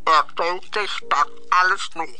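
A threatening anonymous caller on answering-machine tape in a radio play. The voice is piercingly sharp and drags slowly through insinuating threats, with music in the mix. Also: mid-range voice, steady tone. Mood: menacing. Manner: slow, insinuating. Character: menacing anonymous caller making veiled threats. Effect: answering-machine tape playback.

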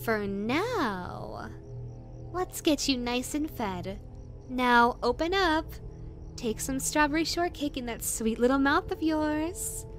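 A woman's voice: high-pitched, lively intonation, slow, faint music behind. A woman voicing a possessive, sweetly menacing yandere rabbit woman in a roleplay audio drama, coaxing and condescending in a saccharine way.

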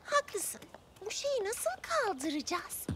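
A woman's voice: very high voice, big pitch swings, takes it slowly, heavy background noise, heavily acted. A woman voicing a cheerful, eager young girl heroine in a dubbed children's cartoon.

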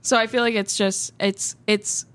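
A woman's voice: mid-range voice, flat delivery, a bit bright, no echo. A woman in her twenties hosting a casual podcast at a desk mic, chatty and full of fillers. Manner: chatty, quick laughs, many "like" and "um". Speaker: podcast host. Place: small studio with textured walls. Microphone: desk mic on stand, headphones.

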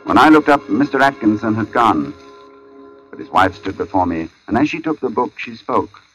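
An actor playing a grave, measured artist who narrates in the first person in an old radio drama. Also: male voice, on the low side, lively intonation, fairly bright tone. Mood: uneasy, measured. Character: artist recounting an uncanny encounter, grave and measured. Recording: dull old radio recording, music behind.

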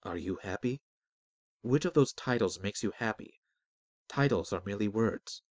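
Man voicing a soft, low, cryptic spirit guide. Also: lively intonation, no echo, clean studio sound, close to an everyday voice. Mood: calm, cryptic. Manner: soft, measured, enigmatic. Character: calm, cryptic disembodied spirit voice, guiding and riddling. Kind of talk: fantasy audiobook character.

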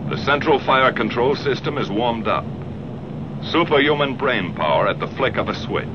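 A man's voice: medium pitch, expressive, moderate pace, noisy background. American man narrating a dated 1940s war film. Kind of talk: 1940s military documentary film narration, scripted. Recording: dull 1940s film soundtrack, loud music. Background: loud film score.

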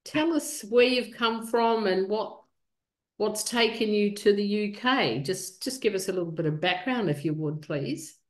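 An older woman from New Zealand hosting a video call interview, asking gentle, encouraging questions. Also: medium pitch, unhurried. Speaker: podcast host. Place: room indoors. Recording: echoey room on a call.

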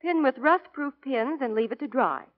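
High, very animated 1940s radio ad woman. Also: moderate pace. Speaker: radio commercial spokeswoman. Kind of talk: scripted 1940s radio advertising. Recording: dull 1940s radio broadcast.

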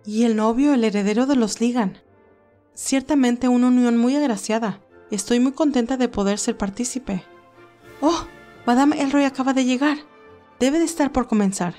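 A woman voicing genteel, gossipy high-society party guests in a romance story. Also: mellow, close to an everyday voice.

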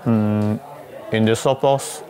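A middle-aged man with a Hong Kong accent, speaking haltingly and reflectively in an interview, searching for his words. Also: lowish voice, fairly even intonation, mellow, a faint crowd. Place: small book-lined room, indoors.

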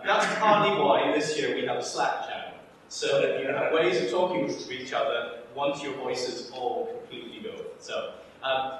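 A man's voice: a little high, fairly bright tone. A man from Edinburgh, director of an institute, opening a workshop with a chatty, wry talk full of ums. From Scotland (Edinburgh). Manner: chatty and self-deprecating, many ums, wry asides. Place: large lecture room with a projector. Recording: very echoey room, voice from far off, faint noise.

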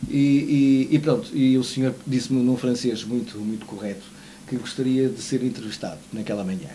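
A man in his forties with a European Portuguese accent, co-hosting a morning TV show and telling animated, self-mocking anecdotes in casual chat. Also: mid-range voice, moderate pace, a little hiss or hum, rough recording. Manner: animated storyteller, self-mocking, gestures while talking. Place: small TV studio, seated at desk.

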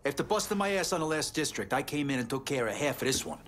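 A man's voice: on the high side, animated, fast, fairly bright tone, slight background noise. A man playing a proud, assertive mafioso in a video game, speaking bluntly. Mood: confident. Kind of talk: cinematic video game acting.

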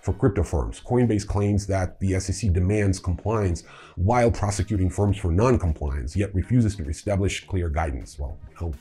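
A man's voice: low voice, moderate pace, warm tone, slightly rough. A middle-aged man hosting a news rundown, reading semi-scripted items with informal, wry asides. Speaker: host of a crypto news show. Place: indoor room with wooden staircase.

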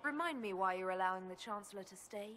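A woman acts a young elf heroine in a fantasy game. She sounds level-headed and inquisitive at a middling pitch. There is faint background noise. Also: fast talker, fairly bright tone, lightly acted. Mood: wry. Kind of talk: video game dialogue acting.

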